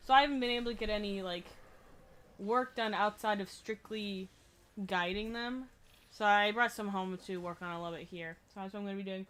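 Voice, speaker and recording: A young woman streaming a game with a friend, chatting casually in a loud, projecting voice. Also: medium pitch, fairly quick. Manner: chatty, showing off plushies. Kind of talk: casual chat.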